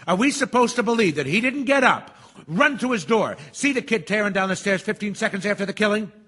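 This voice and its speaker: A brash, sarcastic juror in a radio play. His voice is high, husky and forceful, swooping up and down with impatient mockery. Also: expressive, fairly quick, heavily acted. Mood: mocking. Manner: sarcastic, loudmouthed. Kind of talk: stage radio drama acting.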